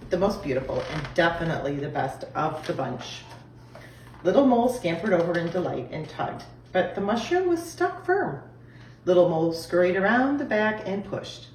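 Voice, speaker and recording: A woman reading a children's story aloud in an expressive style, recorded in an echoey room. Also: fairly low pitch. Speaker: storybook reader. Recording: echoey room, distant mic, faint noise.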